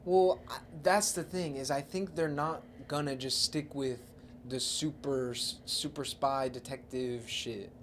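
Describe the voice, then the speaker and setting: A man's voice: medium pitch, steady tone, a little slow, a little hiss or hum. A man in a casual podcast discussion, reasoning through his counterpoints step by step. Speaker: podcast co-host. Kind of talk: casual discussion about movies.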